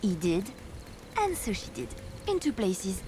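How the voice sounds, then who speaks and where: A woman's voice: mid-range voice, very animated, unhurried, background noise. An actress playing a romantic, reckless young French woman in a video game, musing dramatically with a French accent. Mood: wry, fond. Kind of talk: video game character dialogue.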